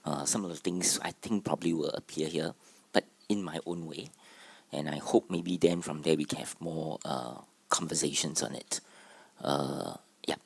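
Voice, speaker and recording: A man's voice: extremely low pitch, normal speed, vocal fry, breathy edge, no room sound. A man with a Singaporean accent, Cantonese his first language, giving a hesitant conference panel talk as a theatre artistic director. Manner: hesitant, with many fillers. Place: conference room.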